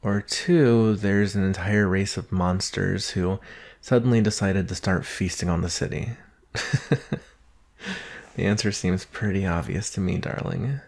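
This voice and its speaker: A low-voiced boyfriend in an intimate ASMR roleplay, played by a man, with a creaky edge and an unhurried pace. He is soft-spoken and affectionate, teasing gently and thinking things through aloud with long pauses. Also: heavily acted. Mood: musing, analytical. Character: doting boyfriend, soft-spoken, teasing, analytical former forensics student.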